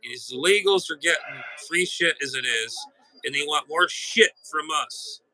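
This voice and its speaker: A man rambling casually to viewers, aggravated and blunt, telling stories in a halting, repetitive way with some mild swearing. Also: on the high side, lively intonation, pushed and bright, slight background noise, poor audio. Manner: exasperated, blunt, mildly profane. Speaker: amateur radio hobbyist streamer.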